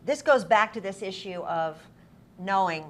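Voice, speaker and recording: A woman's voice: mid-range voice, animated, measured pace. A woman interviewing a guest in an effusive, affirming way, sometimes reading aloud from a book. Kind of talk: on-stage interview with read-aloud book passages. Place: busy convention event room. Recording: clearly noisy live event room recording.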